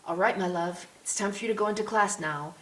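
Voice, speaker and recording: A woman's voice: medium pitch, fast talker, a bit bright, pitched above the performer's own voice, lightly acted. A woman voicing a tender, patient young French mother in an audiobook, soothing and instructive. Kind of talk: audiobook character voice. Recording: roomy echo with clear hiss.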